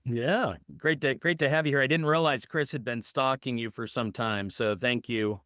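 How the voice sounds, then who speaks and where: A man's voice: medium pitch, normal speed, sharp tone, no room sound, clean studio sound. A man co-hosting a podcast, with wry, joking asides. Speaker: podcast co-host. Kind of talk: casual interview chat.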